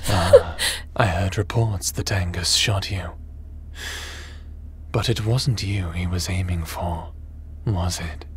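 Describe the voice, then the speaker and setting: Man playing a slow, menacing, low-voiced villain. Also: slight background noise. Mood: smug, menacing. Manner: slow, taunting, gloating delivery. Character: menacing, power-hungry male villain, scheming and smug. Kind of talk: sci-fi audio roleplay acting.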